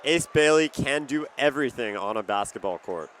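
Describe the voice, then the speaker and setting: A man's voice: mid-range voice, lively intonation, moderate pace, fairly bright tone, a little hiss or hum. A young man giving colour commentary and analysis on a live basketball broadcast. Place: courtside in a basketball arena.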